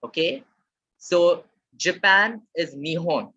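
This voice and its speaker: A woman teaches Japanese in a live online class. Her voice is slightly husky, and she repeats words slowly and keeps asking the chat for replies. The webinar audio sounds dull and muffled. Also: lowish voice, lively intonation, bright, forceful tone. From Maharashtra, India.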